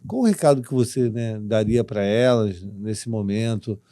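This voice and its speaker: A man hosting an interview as a therapist, asking gentle questions and prompting with short affirmations. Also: on the low side, normal speed, dry recording, studio quality. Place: TV studio.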